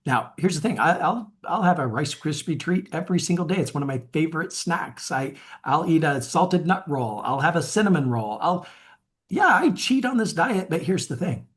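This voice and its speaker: A man's voice: fairly high pitch, animated, normal speed. Upbeat male coach leading a webinar. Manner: upbeat, asks viewers to rate themselves. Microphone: webinar mic.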